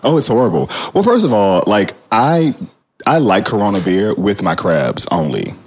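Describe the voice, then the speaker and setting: Podcast guest, man, husky tone, playful banter, lively pitch. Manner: playful, quick joking banter. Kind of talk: casual chat.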